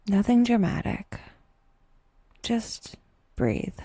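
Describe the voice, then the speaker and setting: A woman narrating a scripted guided meditation with wry, dry humor and a soft, breathy delivery, leaving unhurried pauses. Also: mid-range voice, big pitch swings, no room sound.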